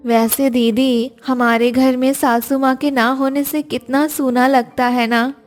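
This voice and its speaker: Woman playing a young village bahu in a cartoon, homely chatty expressive, high voice, faint music. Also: unhurried. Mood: chatty, wistful. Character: young village daughters-in-law, warm, practical, chatty. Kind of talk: Hindi cartoon story voice acting.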